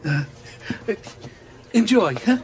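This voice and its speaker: Gushing Italian restaurant owner: unhurried pace, very animated pitch, eager to please, theatrical game drama. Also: male voice. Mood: eager, deferential. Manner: effusive, deferential, theatrical. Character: fawning, excitable male Italian restaurateur. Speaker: video game voice actor. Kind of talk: cinematic crime drama acting. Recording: music playing behind the voice.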